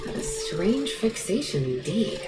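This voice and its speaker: A woman's voice: mid-range voice, very animated, measured pace, loud music behind the voice, rough recording. A woman playing a poised, teasing grown-up librarian with a menacing streak in a video game. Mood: composed, assured. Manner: silky, teasing, calls people sweetie and cutie.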